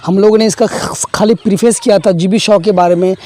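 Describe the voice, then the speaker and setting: A man's voice: high-pitched, fairly even intonation, brisk, darkish tone. A young man teaching a textbook play, explaining each phrase, repeating himself and adding fillers.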